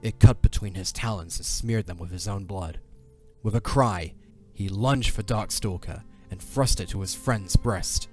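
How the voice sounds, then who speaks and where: A man with a markedly deep voice narrates a dramatic story. His delivery is solemn and measured, set against clearly audible music. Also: dark tone.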